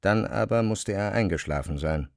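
A man narrating a literary radio drama in German in a measured, deliberate way. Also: lowish voice, gravelly.

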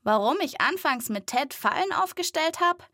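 High-voiced woman hosting a children's podcast. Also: lively intonation, moderate pace, pushed and bright, dry recording, studio quality. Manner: direct, chatty address to young listeners. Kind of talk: lively scripted narration for children.

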